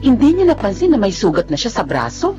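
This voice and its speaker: A woman's voice: fairly high pitch, huge pitch swings, fast talker, warm tone. A woman with a Filipino accent acting a worried middle-aged adoptive mother in a radio drama, sounding anxious and concerned. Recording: dull, muffled audio with faint music.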